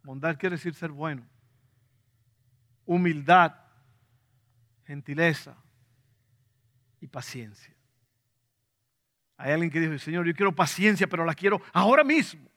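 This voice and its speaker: A man's voice: a little high, measured pace, pauses often, fairly bright tone. A man with a Dominican Spanish accent, preaching a sermon to a congregation, repeating key points and putting questions to them. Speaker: pastor.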